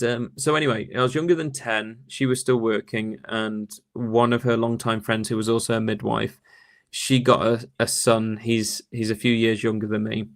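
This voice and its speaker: Man, thoughtful and rambling. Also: medium pitch. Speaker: interview guest, parapsychology academic. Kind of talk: conversational interview answers, anecdotes.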